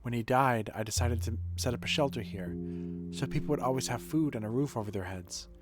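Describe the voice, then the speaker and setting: A man reading game dialogue as an earnest, dutiful woman who runs a shelter, in a measured, formal, reflective manner. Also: mid-range voice, lightly acted. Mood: solemn. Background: music.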